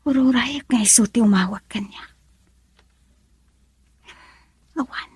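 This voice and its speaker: A woman's voice: mid-range voice, darkish tone, breathy edge, dry recording. A woman playing a young wife who is hurt and pleading with her husband in a radio drama. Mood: sorrowful, hushed.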